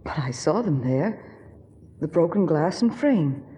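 Very husky, low-voiced hunted heroine. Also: female voice, expressive, measured pace, dark tone, full character voice. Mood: anxious. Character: frightened, resolute young woman hunted by a murderer. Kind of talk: 1940s radio drama acting.

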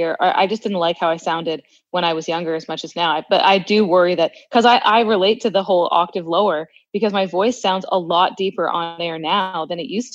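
A young woman with a low voice, joining a podcast chat with quick, self-deprecating remarks. Her audio comes through dry and a little dull, as if over a remote line. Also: dry recording. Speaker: radio host and podcast co-host. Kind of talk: casual chat.

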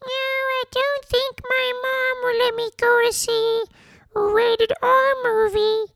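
An adult voicing a polite, earnest young boy on a comedy podcast, in a squeaky, childlike way. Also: little pitch movement, slow, a bit bright, heavily acted.

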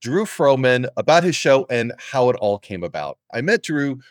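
A man's voice: mid-range voice, very animated, moderate pace, dry recording, studio quality. A man hosting a podcast, talking in a casual, upbeat interview style. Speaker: podcast host interviewing a guest.

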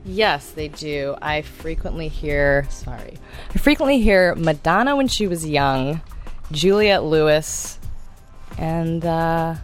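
Low-voiced midwest woman, kinda nervous, self-deprecating, music under. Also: lively intonation, slow. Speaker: contestant.

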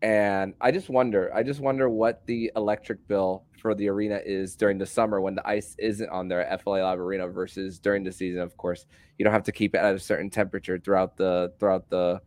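A man hosting a sports podcast in a conversational style, with a somewhat halting delivery that repeats words. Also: low-pitched, flat delivery, a little mellow.

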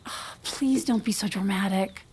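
A woman's voice: medium pitch, moderate pace, a little mellow, a little hiss or hum, lightly acted. An actress playing a guarded, weary, dry-witted wife and mother, giving clipped, deflecting answers in a naturalistic audio drama.

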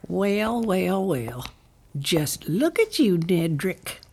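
An actress playing a cold, domineering older mother of a crime family in an audio drama, scolding in a withering, deliberate way. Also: lowish voice, sing-song, gravelly, a little mellow, slightly rough, heavily acted. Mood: cold contempt. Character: cold, cruel, domineering matriarch of a powerful criminal family.

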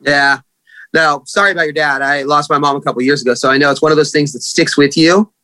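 A man's voice: a little high, fairly even intonation, fast talker, pushed and bright, no echo, low-quality recording. A man hosting an interview podcast, asking questions with sympathy and curiosity. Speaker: podcast host.

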